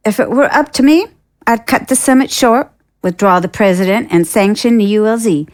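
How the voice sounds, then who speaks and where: A woman's voice: on the high side, moderate pace, natural voice. A woman playing a skeptical, imperious senator in an audio drama, with a pointed, formal, theatrical delivery. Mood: troubled, skeptical.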